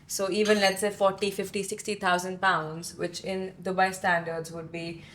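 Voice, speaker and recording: A woman's voice: medium pitch, level tone, moderate pace, a bit bright. Chatty woman co-host from India. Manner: chatty, laughing, many fillers and restarts. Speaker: podcast co-host. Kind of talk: casual podcast chat.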